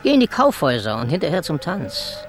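An actor playing a sly, pleasure-loving stray tomcat in a radio play, relishing every word. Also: male voice, fairly high pitch, wildly swooping pitch, a little fast, faint music behind. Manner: swooping, relishing.

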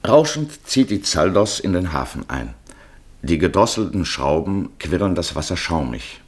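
A deep-voiced man narrates a 1950s radio travel feature. His intonation moves widely as he paints scenes in vivid, measured literary storytelling. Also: very animated, breathy edge.

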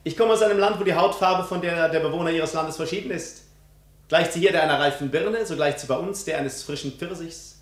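A man's voice: on the high side, brisk, close to an everyday voice. Male actor, echoing room, foreign prince suitor, unruffled, eloquent, witty, 1950s radio drama. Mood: polite, witty. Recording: echoey hall.

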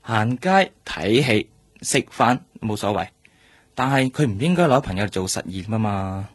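A man playing a firm, guarded senior doctor in a radio drama, measured and admonishing, in Hong Kong Cantonese. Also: fairly low pitch, animated, slightly rough. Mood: cautioning, firm.

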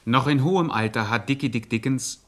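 A man's voice: medium pitch, fairly quick. A man narrating a radio crime satire like a mock documentary, formal and precise.

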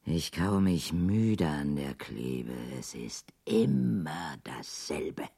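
An actress playing a weary, stubborn housewife who nurses a sick husband in a radio drama, speaking in a halting, reciting way. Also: female voice, a bass voice, animated, creaky, dark tone, husky, dry recording, exaggerated voice. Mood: reproachful, weary. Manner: slow, halting recitation, reciting chores and puzzle words to herself. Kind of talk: German radio drama acting. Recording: studio radio play with music underneath.

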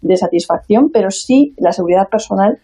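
Mellow, husky Spanish woman. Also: mid-range voice, lively intonation, a little fast, poor audio. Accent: Spain Spanish. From Spain. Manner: explanatory, with fillers. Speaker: radio contributor. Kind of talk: presenting a report.

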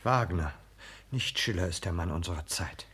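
An actor playing a meek, earnest, sickly young barber, speaking formally and plaintively in a 1960s German radio play. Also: male voice, sits low, lively intonation, moderate pace, a bit bright, breathy edge, full character voice. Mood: earnest, insistent. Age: young adult. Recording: old radio recording with faint noise.

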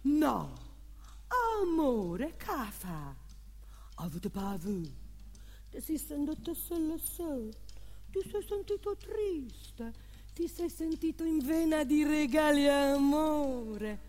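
An actress playing a woman pleading with and teasing her beloved in a slow, repetitive theatrical monologue. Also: high voice, animated, dark tone, a little hiss or hum, heavily acted. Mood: tender, mocking. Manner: slow, drawn-out, repetitive, insistent delivery. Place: theatre hall, live stage performance. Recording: long hall reverb, live music behind.

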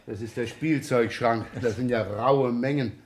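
A man's voice: mid-range voice, a little slow, natural voice. A man playing a jaded, teasing police inspector in a radio crime drama, muttering casual sarcastic asides. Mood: casual, needling. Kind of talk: 1970s German radio crime drama acting. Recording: echoey room, clear background noise.

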